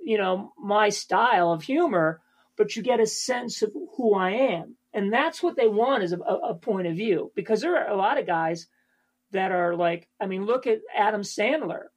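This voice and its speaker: A male stand-up comedian with a high voice, chatting casually as a podcast guest, rambling with many fillers and restarts. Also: animated, normal speed, breathy edge.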